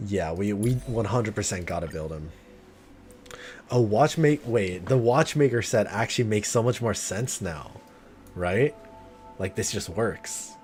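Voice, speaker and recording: Male streamer, lower register, casual and excitable gameplay chatter, swears, game soundtrack underneath. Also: unhurried. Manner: excitable, slangy, swears freely. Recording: game music behind the voice. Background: video game music and sounds.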